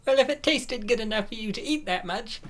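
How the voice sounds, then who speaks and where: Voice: medium pitch, expressive, a little fast, fairly bright tone, natural voice. A performer voicing an insistent, food-loving young woman who is breezy and persuasive, in an amateur comic dub. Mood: breezy, coaxing.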